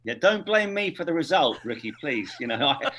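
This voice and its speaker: A man's voice: on the high side, sing-song, brisk, fairly bright tone. A man who is a sports presenter, a guest on a fan podcast, talking in a self-deprecating way with hesitant restarts. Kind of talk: casual podcast chat.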